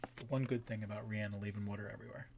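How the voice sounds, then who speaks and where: Male stream host, lower register, informal and halting, talking through things casually. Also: fairly even intonation, vocal fry, noisy background. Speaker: map maker and stream host. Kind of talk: casual livestream commentary.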